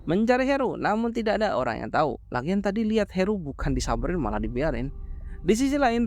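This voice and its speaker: A man narrating a horror film recap in a casual, brisk way, dropping slangy joking asides between plot beats. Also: sits high, slight background noise.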